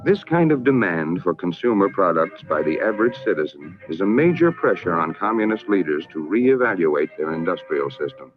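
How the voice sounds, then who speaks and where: An American man narrating a scripted 1960s public information film in a formal, measured, emphatic manner. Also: low voice, big pitch swings. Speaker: documentary narrator. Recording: narrow-band vintage film soundtrack, music behind.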